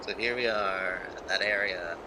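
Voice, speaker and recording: Male game streamer, fairly low register, loose offhand reactions, noisy room ambience behind the mic. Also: fairly even intonation, normal speed. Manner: short offhand reactions, mumbled asides. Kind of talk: casual livestream commentary over a game.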